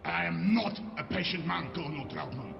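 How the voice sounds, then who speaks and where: An actor playing a cruel, violent Soviet colonel, menacing and deliberate, in a video game. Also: male voice, on the high side, sing-song, gravelly, dull audio, full character voice. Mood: cruel threat. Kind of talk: video game villain acting. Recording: echoey, under loud music.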